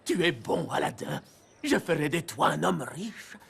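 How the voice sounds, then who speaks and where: A gravelly scheming sorcerer. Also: male voice, fairly high pitch, animated, unhurried, a bit bright, full character voice. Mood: sly. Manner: wheedling, falsely affectionate, then harsh. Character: scheming sorcerer posing as long-lost uncle. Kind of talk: French cartoon dub. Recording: music under dialogue.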